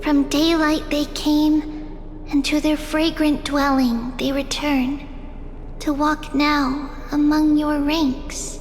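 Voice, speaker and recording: A solemn young fantasy priestess. Her high voice intones slowly and ceremonially, carried on long reverb with soft music beneath. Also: slight background noise. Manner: ceremonial, incantatory. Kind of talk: scripted ceremonial recitation in a game.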